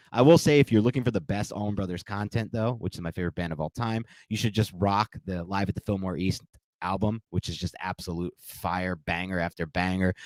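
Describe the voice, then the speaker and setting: A man's voice: lowish voice, dry recording. A man co-hosting a podcast, chatting casually and enthusiastically, laughing often. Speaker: podcast co-host.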